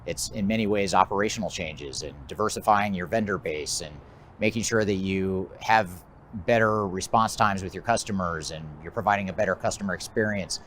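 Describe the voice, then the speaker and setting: An American man giving a measured, methodical explanatory presentation as a business consultant, his phrases often trailing off at the end. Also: sits low, a bit bright, slight background noise. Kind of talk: explanatory presentation of a trends list.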